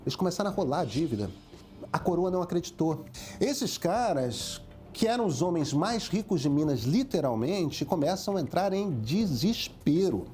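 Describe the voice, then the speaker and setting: A man giving an animated, explanatory documentary interview. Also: on the high side, normal speed. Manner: animated, explanatory, acts out quotes. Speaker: interviewee, history commentator.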